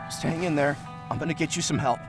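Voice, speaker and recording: A man's voice: a little high, fast talker, over music, close to an everyday voice. An actor playing a panicked, protective man in an audio drama, with urgent, emotional delivery. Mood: urgent, reassuring. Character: panicked, protective male friend searching and pleading.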